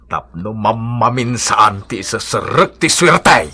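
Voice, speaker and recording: A man acting in an Ilocano radio comedy as a jolly, boastful father hooked on cockfight betting, with a husky, exaggerated comic delivery. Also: mid-range voice, lively intonation, unhurried, bright, forceful tone, exaggerated voice. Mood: boastful, gleeful.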